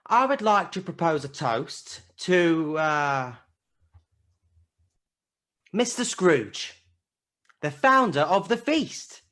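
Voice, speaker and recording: A woman playing a gentle, hopeful father in a radio play, speaking in a tender, measured way. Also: lowish voice, big pitch swings, exaggerated voice. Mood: mild, earnest. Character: gentle, hopeful working-class father of a family.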